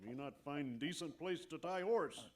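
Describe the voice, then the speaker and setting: An actor playing a stolid, cautious cowboy's sidekick in a radio comedy, speaking deadpan in clipped, broken grammar. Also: male voice, fairly high pitch, moderate pace, natural voice. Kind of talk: old-time radio comedy acting. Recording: live stage recording with background noise.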